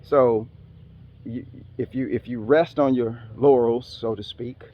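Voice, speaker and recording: A man's voice: medium pitch, fairly even intonation, a little slow, highs cut off. A man giving a rambling casual monologue outdoors, full of street slang and asides. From Philippines heritage, raised elsewhere. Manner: rambling, streetwise slang, talks back to animals. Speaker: vlogger.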